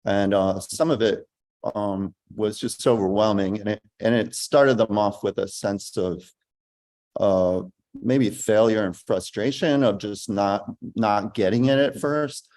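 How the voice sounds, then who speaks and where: Slow, low-voiced man on a panel. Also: darkish tone. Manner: slow, thoughtful, restarts often. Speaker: school executive director. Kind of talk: panel discussion talk.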